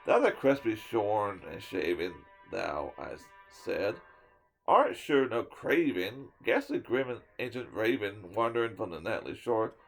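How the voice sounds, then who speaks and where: A man giving a dramatic reading of a poem, swinging from loud declaiming to hushed whispers and sometimes tripping over a word. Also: on the low side, sing-song, a little slow, gravelly, faint music behind. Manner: stumbles and restarts words now and then. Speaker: storyteller reading a poem aloud.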